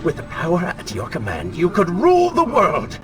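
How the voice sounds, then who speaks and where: An actor playing an arrogant, taunting dark spirit, a prince's wicked other self, with mocking, theatrical delivery in a video game. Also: male voice, sits high, wildly swooping pitch, moderate pace. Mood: coveting, scornful. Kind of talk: dramatic video game acting. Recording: loud music under the voice.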